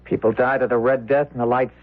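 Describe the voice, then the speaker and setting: An American actor playing a principled, brooding young farmer in a radio drama, speaking earnestly and intensely, sometimes haltingly. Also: male voice, medium pitch, flat delivery, lightly acted. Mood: brooding, bitter. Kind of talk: old-time radio drama acting. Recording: tinny, narrow vintage radio broadcast.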